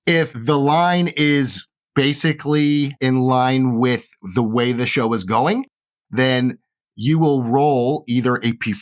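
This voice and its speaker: A man acting as game master, explaining game rules quickly and plainly. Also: mid-range voice.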